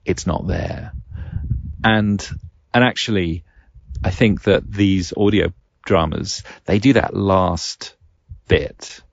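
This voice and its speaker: Male podcast host, very low register with creaky fry, hesitant and reflective, slightly dull home recording. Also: no room sound. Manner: hesitant, thinking aloud in halting phrases. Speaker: podcast host and game master. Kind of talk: reflective solo podcast monologue, casual.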